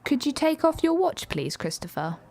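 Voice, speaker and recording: A woman's voice: high voice, sing-song, normal speed, a little mellow. A woman playing a polite, procedural police duty sergeant in a radio drama. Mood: politely brisk.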